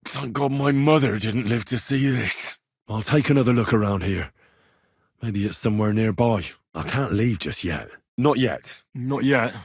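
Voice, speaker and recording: A man playing a young, earnest, plucky medieval blacksmith turned adventurer in a video game, with a plain-spoken, expressive delivery. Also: mid-range voice, unhurried, slightly rough, no room sound, clean studio sound. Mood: low, troubled. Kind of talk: video game voice acting, dramatic dialogue lines.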